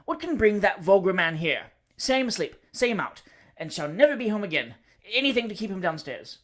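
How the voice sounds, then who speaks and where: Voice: mid-range voice, very animated, a little fast, pitched above the performer's own voice, close to an everyday voice. A reader voicing Mister Minns, a prim, fussy bachelor who stammers with strained politeness in a storybook reading. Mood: flustered. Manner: stammering, put-upon politeness.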